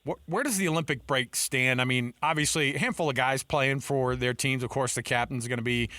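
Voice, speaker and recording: A man hosts a sports radio show with a bright, forceful voice. His studio sound is dry and close. He chats and banters easily before he asks his guest a question. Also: mid-range voice, fairly quick, no echo. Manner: chatty, bantering. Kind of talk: casual sports talk.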